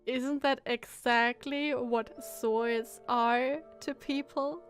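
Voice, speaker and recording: A woman's voice: fairly high pitch, steady tone, very slow, faint music behind. A woman streamer giving casual commentary on a game, reacting expressively with exclamations and musing questions.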